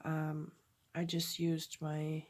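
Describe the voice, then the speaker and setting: A woman with a stuffy nose from a cold, chatting through a craft tutorial at her table, halting often and correcting herself. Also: sits low, monotone. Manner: halting, chatty, many fillers and self-corrections. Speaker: crafter demonstrating a project.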